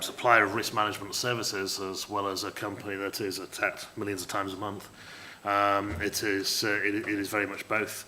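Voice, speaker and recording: Guy on a panel, bright kinda flat voice, lots of ums. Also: fairly low pitch, slight background noise. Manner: measured, hesitant with many ums. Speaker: corporate security chief. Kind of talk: panel introduction. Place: conference hall at a tech fair.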